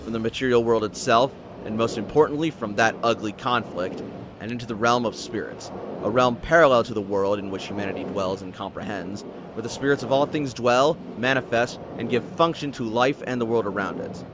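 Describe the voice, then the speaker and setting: A man reads scripted fiction as a narrator. His voice sits at a middle pitch with a dramatic storytelling manner. A faint noise runs behind him. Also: a little fast.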